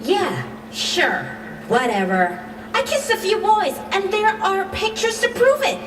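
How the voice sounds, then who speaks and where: A woman's voice: extremely high pitch, very animated, a little slow, full character voice. A woman playing a defiant, sarcastic teenage daughter in a radio drama, with a flippant way of talking. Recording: echoey hall, distant, loud noise.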